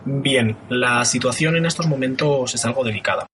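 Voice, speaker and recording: An actor playing a calm, formal intensive care doctor who speaks in clinical terms. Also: male voice, medium pitch, moderate pace, close to an everyday voice. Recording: noisy recording.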